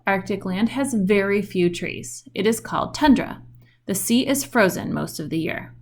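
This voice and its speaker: A woman reading a children's book aloud in an expressive storytelling style with questioning intonation. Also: mid-range voice, moderate pace.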